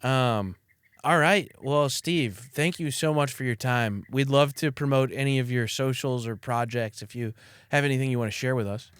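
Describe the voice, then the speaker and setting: A man hosting a casual interview, upbeat and enthusiastic with quick reactions. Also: mid-range voice, clean tone, no room sound, clean studio sound. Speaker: podcast host.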